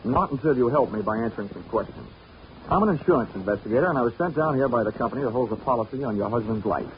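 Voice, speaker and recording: An actor playing a hardboiled insurance investigator with dry, sardonic wisecracks in 1940s radio detective style. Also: male voice, medium pitch, animated, fast talker, natural voice. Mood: sardonic, probing. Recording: muffled, hissy 1940s radio recording.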